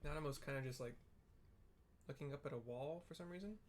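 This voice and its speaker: Casting: relaxed male gamer narrating his playthrough, offhand, mid-pitched. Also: a little fast, slight background noise. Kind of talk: casual commentary over gameplay.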